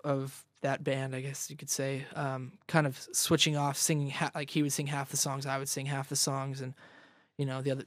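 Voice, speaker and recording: A man's voice: medium pitch, dry recording, studio quality. A young man in casual interview chat as a guest musician, rambling, repeating words and laughing often.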